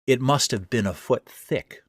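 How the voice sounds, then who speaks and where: A man's voice: unhurried, no room sound, studio quality, natural voice. A man voicing a monstrous spider-woman who poses as a sweet, coaxing captive with genteel speech, in a horror audio story. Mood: pleading. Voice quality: high, sweet. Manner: supplicating, coaxing, genteel old-fashioned speech. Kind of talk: horror audio fiction reading.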